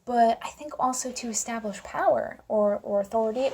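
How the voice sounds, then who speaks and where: A young woman with a fairly high voice that often drops into heavy creak. Her tone is mellow and dark-edged. She talks informally and wryly, as in an opinion vlog. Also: moderate pace. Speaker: video commentator. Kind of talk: casual opinion vlog to camera.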